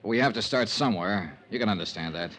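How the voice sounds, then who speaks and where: A man's voice: lowish voice, fast, fairly bright tone, lightly acted. Tough, wry male investigator, played by an actor, clipped dry delivery, vintage radio drama with light background noise. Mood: patient, probing. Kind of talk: 1950s radio detective acting. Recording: noisy vintage radio recording.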